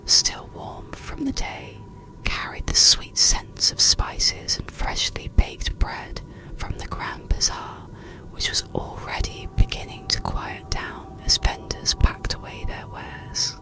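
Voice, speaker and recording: A narrator whispering a bedtime story and guided relaxation in a slow, gentle, soothing ASMR style. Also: low-quality recording.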